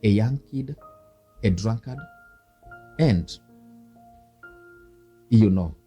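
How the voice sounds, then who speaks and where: This is a Kenyan man with a fairly low, mellow voice. He talks earnestly and devoutly, like a minister sharing his testimony in an interview. Soft music plays faintly behind him. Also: lively intonation, very slow. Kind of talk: personal testimony in a conversational interview.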